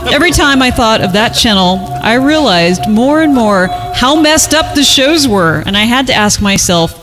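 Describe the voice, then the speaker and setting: A man's voice: extremely high pitch, expressive, moderate pace. A man reading a horror story aloud and breaking off for mocking, foul-mouthed asides. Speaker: podcast reader. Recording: music behind the voice. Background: music.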